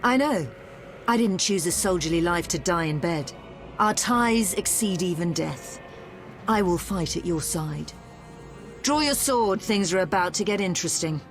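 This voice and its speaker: An actress playing a loyal fallen warrior in a fantasy game, bold and forthright. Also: female voice, lowish voice, lively intonation, a little slow. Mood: resolute, eager. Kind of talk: fantasy video game acting. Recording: faint music behind the voice.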